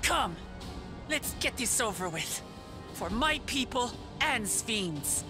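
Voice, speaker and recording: Fantasy game princess: brave, earnest, defiant, slightly high voice, measured and slow. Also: female voice, sing-song, music in the background. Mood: determined. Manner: resolute, heartfelt. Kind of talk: dramatic fantasy video game acting.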